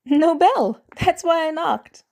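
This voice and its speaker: A woman voicing an eager, excitable teenage boy in a story reading, wide-eyed and a little shy. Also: extremely high pitch, slow, creaky, pitched above the performer's own voice, exaggerated voice. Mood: playful.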